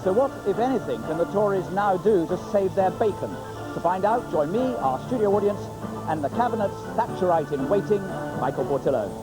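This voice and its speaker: A man's voice: high-pitched, expressive, fairly quick, over music, poor audio. A man with a British accent presenting a scripted trailer for a political television programme.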